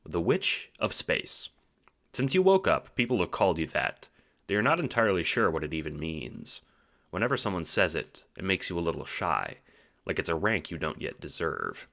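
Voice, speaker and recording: A man narrating a scripted second-person story as an audiobook, with theatrical, expressive delivery. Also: sits low, moderate pace, vocal fry.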